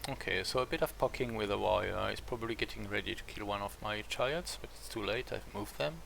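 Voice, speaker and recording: Gravelly French man with hesitant chat. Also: on the low side, a little fast, a bit bright, slight background noise. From France. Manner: rambling, full of hesitations and restarts. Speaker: strategy game streamer. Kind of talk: casual chat while playing.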